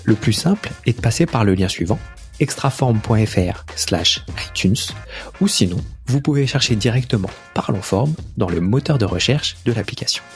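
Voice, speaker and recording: A man's voice: on the low side, lively intonation, moderate pace, faint music behind. A man hosting a podcast, asking questions politely with slight hesitations. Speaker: podcast host. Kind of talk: podcast interview.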